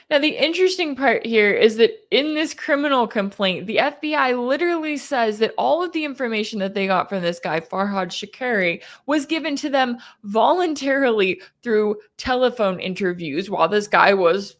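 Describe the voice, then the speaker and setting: A woman hosting a news commentary show, speaking in a conversational, emphatic and skeptical way. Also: fairly high pitch. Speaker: independent news commentator and host. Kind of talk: opinionated news commentary to camera.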